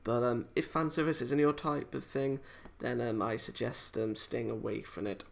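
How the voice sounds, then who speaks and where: Guy doing a casual off the cuff game review, bit gravelly, lots of hesitating and rambling, kinda apologetic. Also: medium pitch, fairly even intonation. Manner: halting, hesitant, rambling, self-correcting. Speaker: amateur video game reviewer. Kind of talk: casual unscripted visual novel review.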